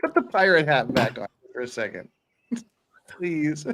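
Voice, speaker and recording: Jokey man with animated, swinging delivery. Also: on the high side, a little slow. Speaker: livestream host. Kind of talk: casual livestream banter and dramatic reading.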